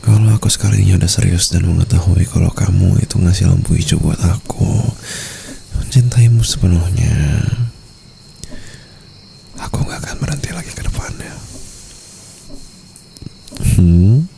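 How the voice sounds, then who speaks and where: Male actor as seductive Indonesian mafia husband, ASMR roleplay. Low, gravelly, doting and teasing, breathy up close, faint cricket ambience behind. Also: normal speed, long pauses, warm tone, a little hiss or hum. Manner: intimate, teasing drawl, often dropping into breathy whispers.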